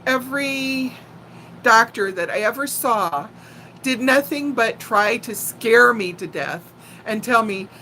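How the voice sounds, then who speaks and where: A woman in her sixties chatting casually as a live stream host, telling personal stories in a playful, animated way. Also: on the high side, takes it slowly, slight background noise. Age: 60s. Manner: animated, playful storyteller who laughs easily and often. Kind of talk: casual chat and personal storytelling.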